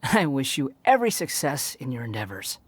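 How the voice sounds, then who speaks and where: A woman's voice: very deep voice, big pitch swings, brisk, a little hiss or hum. A woman playing a polite, composed, scholarly traveler in a video game, with formal and courteous phrasing. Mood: courteous, detached.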